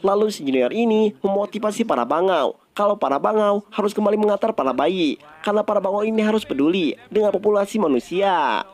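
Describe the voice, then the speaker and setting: A man's voice: high-pitched, animated, fast talker, a little mellow. A man with an Indonesian accent narrates a film recap in a casual style.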